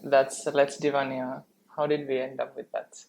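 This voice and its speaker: A woman's voice: a bass voice, flat delivery, normal speed, creaky, a little mellow, low-quality recording. A woman from Finland with a Finnish accent, casually explaining and chatting as a podcast co-host.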